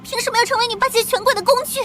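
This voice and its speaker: A woman's voice: very high voice, fairly even intonation, rapid-fire, pushed and bright. An actress playing a lovestruck young noble lady who speaks earnestly and sounds tearful. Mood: heartbroken. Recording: background hiss.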